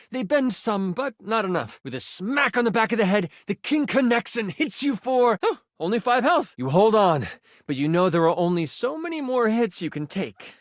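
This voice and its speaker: An actor playing a theatrical, playful game master who narrates a fantasy tabletop adventure with suspense in a video game. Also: male voice, high-pitched, dry recording, clean studio sound. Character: theatrical, playful male game master, personified gaming die.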